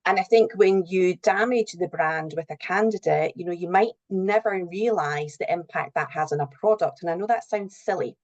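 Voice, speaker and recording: A woman's voice: fairly low pitch, moderate pace, few pauses, a little mellow, lo-fi sound. A middle-aged woman giving earnest, explanatory answers as a guest in a conversational interview. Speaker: interview guest, recruitment and HR professional.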